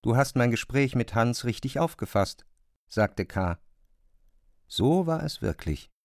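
A man narrating a literary radio drama in a measured storytelling manner. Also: medium pitch, animated, gravelly, no echo, studio quality.

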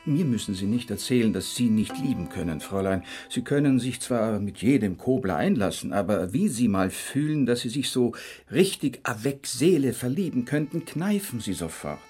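Glib, self-important bohemian schemer, medium-pitched, pompous and lecturing, radio drama with music under. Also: male voice, big pitch swings, moderate pace, dark tone, slightly rough. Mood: lecturing, reproachful. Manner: pompous, affectedly educated, lecturing. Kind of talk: radio play acting.

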